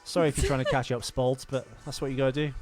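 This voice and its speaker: A man's voice: mid-range voice, level tone, fast talker, darkish tone. Male podcast co-host, casual and explanatory, sweary film-buff banter with music behind.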